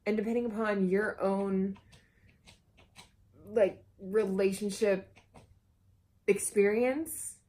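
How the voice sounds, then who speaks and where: A woman's voice: medium pitch, fairly even intonation, slow, long pauses, mellow. A woman chatting casually in a supportive, reassuring way. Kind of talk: casual chat.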